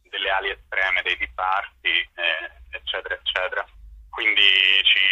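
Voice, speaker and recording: Male interview guest, low-leaning and very bright voice, hesitant phrasing, dull telephone sound. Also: no room sound. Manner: hesitant, searching for words. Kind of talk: spontaneous interview answers. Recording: dull, lo-fi phone line.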